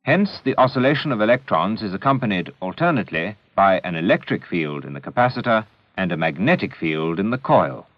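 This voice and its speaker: A man narrating a scripted educational film in a measured, deliberate, didactic manner, on an old 16mm film soundtrack. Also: low voice, sing-song, dry recording, lo-fi sound.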